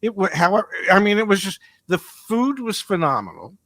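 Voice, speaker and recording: An older American man hosting a conversational podcast interview in a deliberate, storytelling manner. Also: high voice, sing-song, fairly bright tone, no echo, clean studio sound. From United States. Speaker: podcast host.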